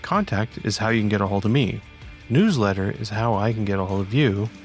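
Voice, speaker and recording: A man's voice: fairly low pitch, a little fast, faint music behind. A man hosting a podcast, curious and conversational as he introduces the episode and asks questions. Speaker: podcast host.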